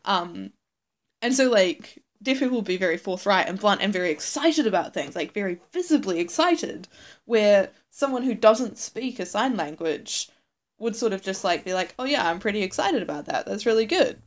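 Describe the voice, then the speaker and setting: A young woman with a New Zealand accent, co-hosting a podcast in casual chat, animated and laughing often. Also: mid-range voice, moderate pace. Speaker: podcast co-host.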